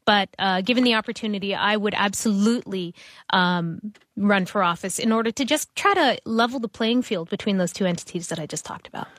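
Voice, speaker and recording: A woman's voice: mid-range voice, a little fast, a bit bright, no room sound. A woman from Toronto with a Canadian accent, a guest on a radio show, answering thoughtfully with fillers and restarts. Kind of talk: interview answers. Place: radio studio.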